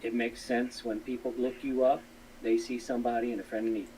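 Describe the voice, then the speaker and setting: A man's voice: mid-range voice, level tone, a little fast, dark tone. A man talking casually on a live stream in an earnest, rambling, repetitive way. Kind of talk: casual live stream talk, appealing to fellow hams. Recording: distant mic with faint noise.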